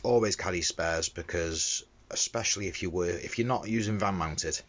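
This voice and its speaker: Low-pitched man, dull noisy recording. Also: moderate pace, a bit bright. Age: middle-aged. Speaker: window cleaner demonstrating a fitting. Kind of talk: casual hands-on how-to talk. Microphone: handheld phone. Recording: dull phone recording with background noise.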